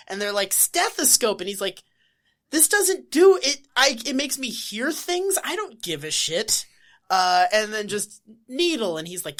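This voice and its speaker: A woman's voice: a little high, fairly bright tone. A woman co-hosting a podcast, retelling scenes sarcastically. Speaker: podcast co-host. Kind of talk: casual podcast chat.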